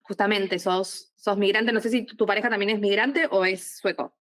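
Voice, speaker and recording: A woman hosts a podcast conversation. She asks her questions in an engaged, curious way and affirms her guest's answers. Her pitch sits in an ordinary middle range. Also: steady tone, moderate pace, a bit bright. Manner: engaged, affirming, laughs easily. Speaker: podcast host. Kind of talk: interview questions.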